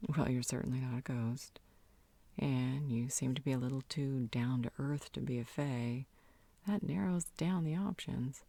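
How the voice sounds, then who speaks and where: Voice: medium pitch, creaky, mellow, no echo. A performer playing a knowing, blunt healer in a scripted fantasy audio roleplay, coy and withholding in manner. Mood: musing. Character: knowing, cryptic, blunt vampire-world healer.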